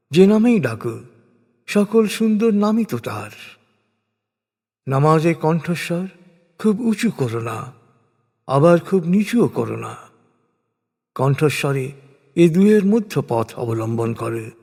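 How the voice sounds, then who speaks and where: A man reading translated scripture as a solemn, scripted recitation, in measured, deliberate phrases with pauses. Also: on the high side, very animated, darkish tone, slightly rough. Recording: long hall-like reverb.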